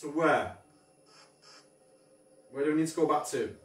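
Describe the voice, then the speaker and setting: A man, an investigator thinking aloud in hushed, then excited, bursts inside a dark, empty pub. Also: on the high side, unhurried, distant mic. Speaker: paranormal investigator. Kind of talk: casual on-location commentary. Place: dark abandoned pub, indoors. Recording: echoey room, heard from afar.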